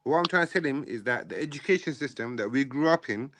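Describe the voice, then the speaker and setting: A man's voice: medium pitch, lively intonation, fast talker, fairly bright tone. A man, talking insistently on an online panel call and often apologising for cutting in. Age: 40s. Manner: insistent, apologetic, talks over others. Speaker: panel participant. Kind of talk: heated online panel chat. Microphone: home mic on a video call.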